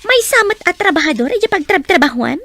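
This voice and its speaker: A woman's voice: very high voice, sing-song, fairly quick, a bit bright, dry recording, exaggerated voice. A woman with a Filipino accent acting a haughty, scornful young student in a radio drama.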